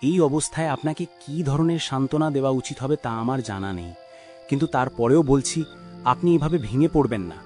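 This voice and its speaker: Guy as a kind tactful family friend in a cartoon, medium pitch, music playing. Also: quick pace, darkish tone, close to an everyday voice. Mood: consoling. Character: sympathetic, tactful male family acquaintance. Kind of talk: cartoon voice acting.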